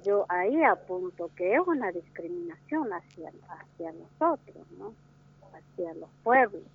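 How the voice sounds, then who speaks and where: A slow-speaking woman whose first language is Wichí, from northern Argentina. She answers in halting phrases full of restarts. Her voice comes through a thin, phone-like line. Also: on the low side, expressive, vocal fry, husky. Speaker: interviewee. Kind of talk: interview answer.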